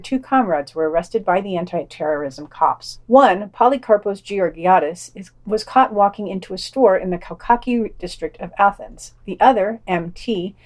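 Female podcast news reader, medium pitch, scripted delivery with wry, dry commentary. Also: a little mellow. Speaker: podcast host reading news.

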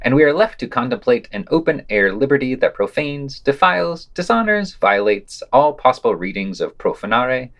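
A man's voice: medium pitch, very animated, moderate pace, few pauses, a bit bright. A man hosting a podcast, reading scripted news summaries and quoted passages aloud. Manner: reads quotations aloud, announcing quote and unquote.

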